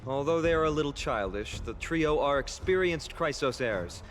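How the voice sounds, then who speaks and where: A man playing an earnest, responsible young hero in a dramatic video game, firm and measured. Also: fairly high pitch, animated, a little hiss or hum, natural voice. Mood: concerned, resolute. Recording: game music behind the voice.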